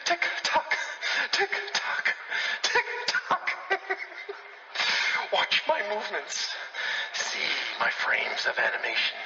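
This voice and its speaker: An actor playing a manic, giddy animator obsessed with his craft, theatrical and breathy, in a horror game audio log. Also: high voice, huge pitch swings, bright, forceful tone, echoey room, recorded from a distance, lo-fi sound, heavily acted. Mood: giddy, unhinged. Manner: theatrical, intense, slow savoring delivery.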